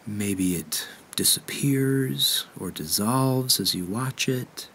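A man leading a guided meditation in a gentle, unhurried way with measured pauses. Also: medium pitch, slight background noise.

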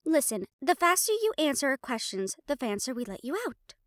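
A woman's voice: high voice, big pitch swings, fairly quick, fairly bright tone, dry recording, studio quality, voice pushed up. A woman playing a feisty young female hunter, brash and casual, in an audio roleplay. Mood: cocky.

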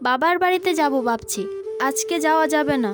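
An extremely high-voiced young village wife played by a woman, dutiful but put-upon. She speaks plaintively in a cartoon family drama, over clearly audible music. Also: lively intonation, brisk. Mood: musing. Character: overworked, aggrieved young village daughter-in-law, dutiful.

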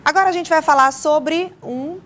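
A woman's voice: sits high, a bit bright, slight background noise. Young woman TV news anchor. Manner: measured scripted hand-offs. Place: TV news studio.

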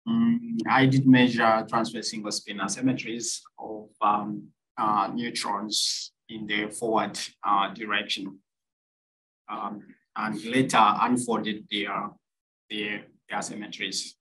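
A man's voice: on the low side, level tone, slow, a bit bright, rough recording. A man from Zambia introducing himself on a video call, hesitant with many fillers. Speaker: summer school student. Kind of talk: self-introduction.

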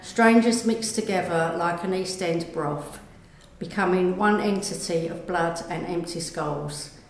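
A woman reads a short story in a low-pitched voice. She takes it very slowly, and the room around her is echoey, with faint noise behind. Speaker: writer reading own short story. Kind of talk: scripted narration, live reading.

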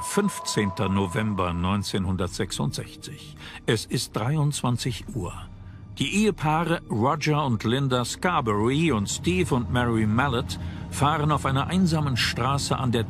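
A man narrates a documentary from a script. His voice sits at a medium pitch, and music plays clearly behind him. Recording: loud music under the voice.

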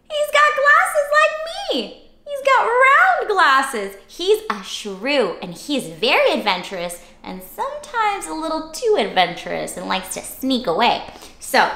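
A young woman with a high, bright voice reads interactively to small children. She takes her time and exaggerates her delivery, often asking the listeners questions. The sound is roomy, as in an echoey room at home. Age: young adult. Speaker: children's read-aloud host. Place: small furnished room indoors.

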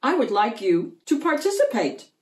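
A woman voicing a kindly, encouraging female schoolteacher in a storybook, explaining in a measured way. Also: fairly high pitch, big pitch swings, darkish tone, pitched above the performer's own voice. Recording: roomy echo, distant microphone.

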